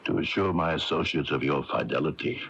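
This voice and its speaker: An actor playing a soft-spoken, menacing criminal in a radio mystery drama, speaking in a deliberate, formal manner. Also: male voice, rumbling low. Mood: quietly menacing. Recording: muffled, noisy old radio broadcast tape.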